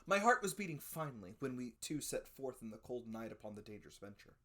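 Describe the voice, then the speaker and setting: A narrator giving a dramatic scripted reading of a classic adventure story, with expressive, theatrical emphasis. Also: medium pitch, quick pace. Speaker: audiobook narrator.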